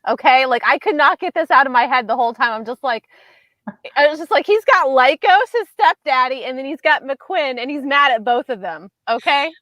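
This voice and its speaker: A woman co-hosting a casual book chat, animated and emphatic. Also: high-pitched, a little fast, dry recording. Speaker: book podcast co-host.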